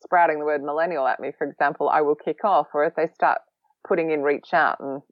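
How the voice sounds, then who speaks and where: A woman with an Australian accent, a podcast guest talking casually, quick-witted and a bit sarcastic. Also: low voice, fairly even intonation, dry recording, dull audio. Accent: Australian, long lived in London. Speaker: podcast guest, social recruiting trainer.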